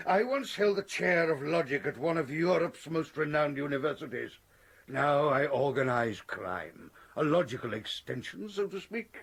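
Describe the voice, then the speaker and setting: An actor playing a jovial, verbose professor turned crime lord in a period radio drama, florid and courteous with a menacing edge. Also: male voice, a little high, expressive, normal speed, gravelly, a bit bright, husky, heavily acted. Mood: smoothly menacing.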